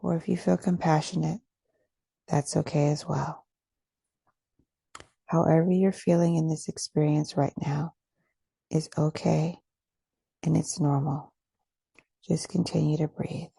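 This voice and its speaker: A woman leading a guided meditation as a teacher, speaking slowly, gently and deliberately in a breathy, soothing voice with long pauses. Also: deep voice, level tone, no echo.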